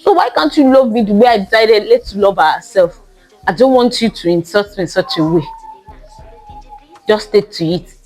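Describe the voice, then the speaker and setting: A young woman hosting a casual monologue podcast, talking in a loud, emphatic way and drawing out the words she stresses. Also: mid-range voice, lively intonation, normal speed, faint music behind, poor audio. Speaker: podcast host.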